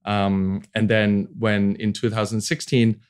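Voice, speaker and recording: A man's voice: lowish voice, a little slow, clear, resonant tone. A man in his forties from Hong Kong, a venture capital founder, talking casually in an interview with many fillers and restarts. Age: 40s. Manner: relaxed, many fillers and restarts, laughs easily.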